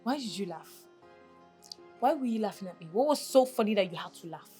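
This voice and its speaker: A woman's voice: mid-range voice, animated, fairly quick, warm tone, faint music behind, natural voice. A woman playing a cold, contemptuous young woman who is about to become someone's stepsister. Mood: hostile.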